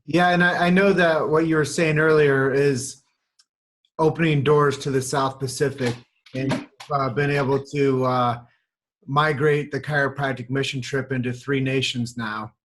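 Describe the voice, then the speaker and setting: A man's voice: mid-range voice, steady tone, unhurried, a bit bright. A man hosting a podcast interview, asking friendly, upbeat questions into a studio mic. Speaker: podcast host. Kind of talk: casual interview.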